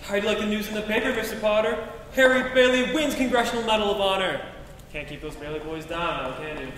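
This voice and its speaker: A man's voice: high voice, fast talker, fairly bright tone, soft background music. A man playing a cheeky, scatterbrained older uncle in a live radio play, jaunty and theatrical. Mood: gloating, jaunty. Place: on stage in a school auditorium. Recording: distant echoey hall, faint noise.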